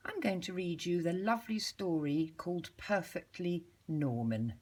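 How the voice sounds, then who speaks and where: A woman reading a storybook aloud to young children like a schoolteacher, in an expressive, measured way with deliberate pauses. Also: lowish voice.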